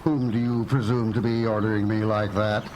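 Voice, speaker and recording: A man's voice: fairly low pitch, little pitch movement, moderate pace, background noise, lightly acted. An actor in a live radio drama playing a haughty, spiteful man with a sneering, pompous manner. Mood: outraged. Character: haughty, spiteful man feuding with his brother and neighbors. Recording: live radio recording with music.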